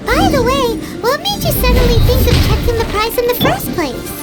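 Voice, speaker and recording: A woman voicing a tiny, chirpy female fairy companion who talks in an excitable, squeaky way, in video game voice acting. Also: normal speed. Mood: puzzled. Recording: game music underneath.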